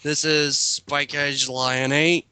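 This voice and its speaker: A man's voice: fairly high pitch, level tone, slow, sharp tone, clean tone, no echo. A man hosting a casual group critique chat for an online video. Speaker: video host.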